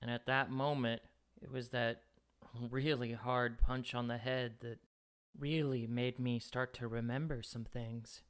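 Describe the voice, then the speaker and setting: A man's voice: mid-range voice, little pitch movement, unhurried, a bit bright, smooth, close to an everyday voice. A man playing a cocky, goofy, hot-headed young yakuza brawler with brash, bumbling boasts in an anime-style audio drama. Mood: dazed, reflective.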